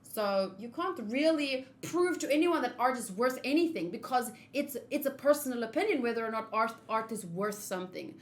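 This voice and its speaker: A woman's voice: on the high side, animated, moderate pace, few pauses. A woman giving casual live game commentary, explaining her opinions in a chatty way as a streamer.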